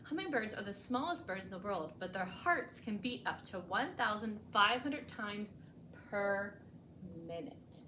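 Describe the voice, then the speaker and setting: A woman's voice: mid-range voice, animated, a little slow, sharp tone. Woman reading to children, echoey noisy room. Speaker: librarian hosting a bedtime storytime. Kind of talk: picture-book read-aloud with explanatory asides for children. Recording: echoey room with clear background noise.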